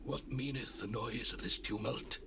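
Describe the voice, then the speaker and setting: Casting: male actor as aged, frail priest for Bible audio drama, anxious, unhurried, light tape noise. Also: mid-range voice, pushed and bright, close to an everyday voice. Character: frail blind old priest, anxious for news. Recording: noisy raw cassette rip.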